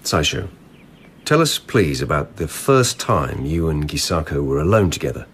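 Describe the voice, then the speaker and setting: A very low-pitched male investigator, blunt and insistent. His delivery swings widely in pitch as he probes, in radio drama style, with faint ambient noise behind him. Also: big pitch swings, heavily acted. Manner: brisk, insistent questioning. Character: blunt, probing male lieutenant investigating a palace murder.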